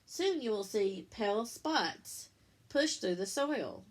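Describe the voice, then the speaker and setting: A woman reading a picture book to children like a teacher, in simple, deliberate phrases, pausing to explain and ask questions. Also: medium pitch, animated. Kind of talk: read-aloud storytime with explanatory asides.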